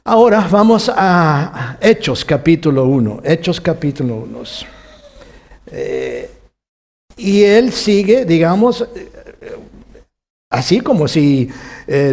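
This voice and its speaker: A man teaching from the Bible to a congregation, with Costa Rican and Mexican Spanish and a halting manner full of restarts. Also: high voice, lively intonation. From United States, California. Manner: warm, halting with many restarts, chuckles often. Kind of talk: sermon teaching.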